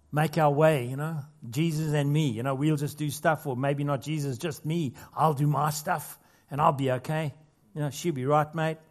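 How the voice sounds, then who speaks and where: Man, pastor, sermon, earnest emphatic delivery, slightly high voice. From not Australia. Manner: earnest preaching with rising emphasis and casual asides.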